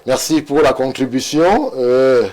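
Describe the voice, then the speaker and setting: A man hosting a studio debate, reading viewer messages aloud in a measured way and handing the floor to guests. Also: mid-range voice, darkish tone.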